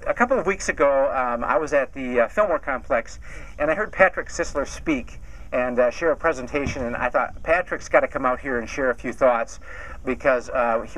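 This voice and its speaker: A man's voice: medium pitch, fairly bright tone, low-quality recording. A man, executive director of a charity, introducing speakers at an outdoor event in a friendly, hesitant way. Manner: friendly, hesitant, many "uh" fillers. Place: outdoors at a house build site.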